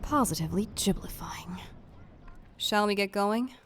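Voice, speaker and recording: A woman voicing a brisk, formal, scheming female staff aide, articulate and dryly businesslike, in a dramatic fandub. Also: mid-range voice, natural voice. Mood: polite, businesslike.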